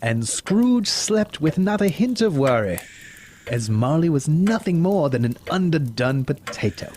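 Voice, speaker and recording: A man playing the wry narrator of an audio drama, telling the story in a drawn-out way. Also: a little high, sing-song, darkish tone, a little hiss or hum. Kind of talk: scripted narration.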